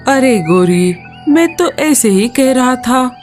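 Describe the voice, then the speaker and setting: A woman's voice: on the high side, animated, takes it slowly, a little mellow, faint music behind. A woman voicing Kalu, a friendly and helpful male crow in a cartoon, eager and reassuring. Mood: kindly.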